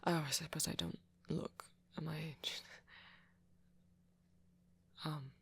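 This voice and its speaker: A woman voicing a shy, anxious, apologetic young-looking male vampire in an ASMR roleplay, speaking softly and hesitantly with nervous stammers and half-whispers. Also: low-pitched, fairly even intonation, slow, slight background noise, heavily acted. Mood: awkward, self-conscious. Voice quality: soft, breathy, often half-whispered. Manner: hesitant, stammering, self-conscious. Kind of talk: ASMR roleplay monologue to a listener.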